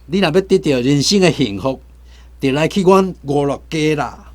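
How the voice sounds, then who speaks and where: A man's voice: on the high side, normal speed, a little mellow, lightly acted. Echoing, domineering tempter played by a man. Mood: enticing. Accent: Taiwanese. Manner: coaxing, commanding, theatrical. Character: tempting gambling-den tout and domineering dark master. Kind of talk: Taiwanese radio drama acting. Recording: roomy echo, music behind.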